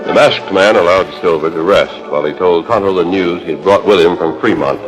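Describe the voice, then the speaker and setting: A man's voice: sits low, fairly quick, close to an everyday voice, poor audio. A man narrating a western adventure in dramatic 1940s radio style. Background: music.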